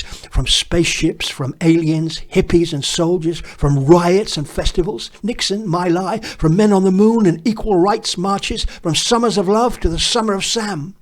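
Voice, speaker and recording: Man, 60s, poet reciting, reflective measured delivery, fairly high voice. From northern England. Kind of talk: poetry reading.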